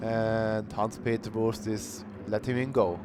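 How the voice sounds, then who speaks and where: Male race commentator, even unhurried delivery, noisy stream audio. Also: on the low side. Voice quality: calls himself monotonous. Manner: unhurried, plain-spoken, bursts of cheering. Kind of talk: live sim racing commentary.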